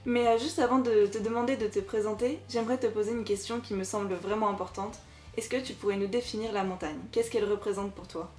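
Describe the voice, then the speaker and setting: Fast-talking woman interviewer, echoey room. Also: medium pitch, fairly even intonation, darkish tone. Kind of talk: podcast interview.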